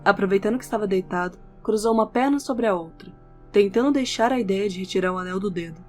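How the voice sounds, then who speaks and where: Female narrator, scripted audio novel, mid-range pitch, guitar music bed behind. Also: fast. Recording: background music under the voice. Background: instrumental music with guitar.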